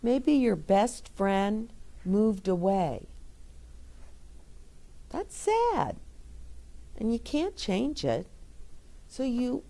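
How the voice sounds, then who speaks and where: A middle-aged woman reading a picture book aloud and chatting gently and encouragingly to young children. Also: a little high, very animated, extremely slow, creaky, long pauses. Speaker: children's storyteller. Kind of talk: read-aloud story time with chat to children.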